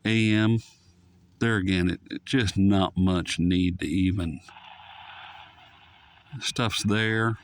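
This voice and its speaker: A man's voice: sits low, steady tone, takes it slowly, pauses often. A man casually reviewing a shortwave radio in a folksy, rambling way that drifts off topic. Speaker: radio reviewer, channel host. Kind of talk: casual, unscripted product review.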